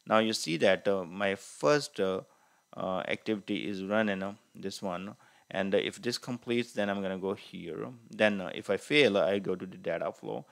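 A man presenting an informal screen-share software tutorial, explaining in a halting, hesitant way and correcting himself as he goes. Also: deep voice, creaky. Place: voice-over on a screen recording.

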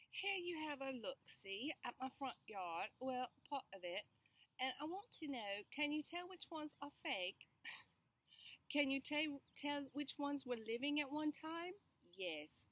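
A woman's voice: high voice, fairly bright tone. Chatty woman in an echoey room. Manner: bubbly, chatty, thinks aloud. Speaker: home and garden vlogger. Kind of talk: casual chatty vlog to camera. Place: indoors in a small room, and on a front porch. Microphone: camera or webcam at close range.

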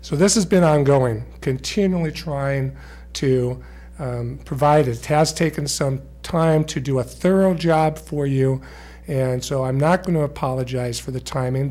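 A middle-aged man presenting at a school board meeting into a desk microphone, measured and full of fillers and restarts. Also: medium pitch, dark tone.

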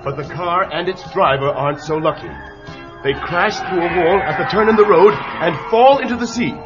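A man narrating a children's action story with punchy drama. His voice runs a touch high. The sound is a muffled 1980s tape with music playing throughout. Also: normal speed.

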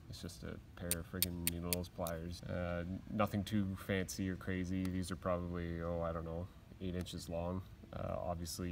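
Man, deep voice, flat delivery, casual rambling, workshop bench, faint room noise. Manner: casual, rambling, plain-spoken with fillers. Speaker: aircraft mechanic. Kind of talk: casual tool show-and-tell. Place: workshop at a steel workbench.

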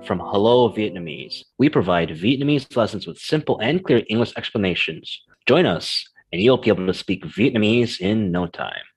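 Deep-voiced American man, easygoing host. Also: normal speed, few pauses, breathy edge, low-quality recording. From United States. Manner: relaxed, chatty, many fillers. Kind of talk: casual language lesson chat.

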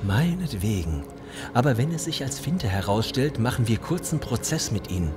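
An actor playing a menacing, condescending gang leader with mock-courteous threats in a German audio drama. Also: male voice, mid-range voice, very animated, moderate pace, music in the background, close to an everyday voice. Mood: suspicious.

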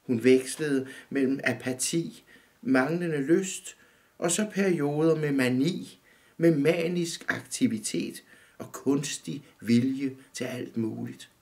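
Man, 50s, author reading his own prose; gravelly, measured, close to the camera indoors. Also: medium pitch. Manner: calm, measured reading, often looking down at the text. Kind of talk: literary reading. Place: dim room indoors.

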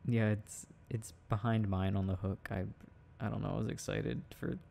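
A young man playing a sweet, shy, devoted boyfriend in an intimate ASMR roleplay, speaking softly and hesitantly, close to the mic. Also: fairly low pitch, little pitch movement, vocal fry, slight background noise. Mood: bashful, amused. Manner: soft, tender, hesitant, halting.

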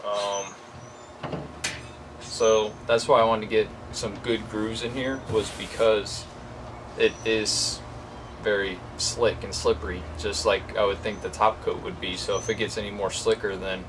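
Low-voiced young man, noisy recording. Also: fairly even intonation, measured pace. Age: 20s. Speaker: DIY video host. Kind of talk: casual how-to vlog talk. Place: outdoors beside a shed. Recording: clearly noisy outdoor ambient hum.

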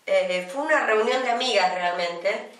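A woman's voice: medium pitch, recorded from a distance. Argentine woman in her fifties, chatty studio co-host, echoey room, set back from the mic. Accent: Argentine Spanish. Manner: chatty, many drawn-out "eh" pauses. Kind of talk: casual studio chat. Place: small TV studio, indoors. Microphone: desk microphone, some distance away. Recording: roomy studio sound from off-mic.

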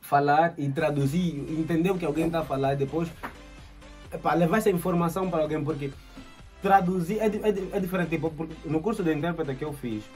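A young man in his twenties with an Angolan Portuguese accent, the channel host, telling a story in relaxed casual chat full of slang and fillers. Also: a little high, fast talker, soft background music. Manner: relaxed, fills with "yeah" and "tipo", slang. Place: indoor room, flag backdrop.

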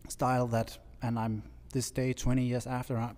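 A man in his thirties from Denmark with a Danish accent, chatting as a guest artist in a relaxed, halting way. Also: mid-range voice, long reverb tail. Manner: relaxed, halting, laughs easily. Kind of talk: casual interview chat. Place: large bright studio, indoors. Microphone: stand mic with headphones.

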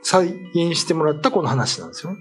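Middle-aged man explaining, lively higher-pitched voice. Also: fast talker, faint music behind. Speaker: writer and co-host. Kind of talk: casual explanatory talk about folklore. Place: small meeting room set up as a studio, indoors.

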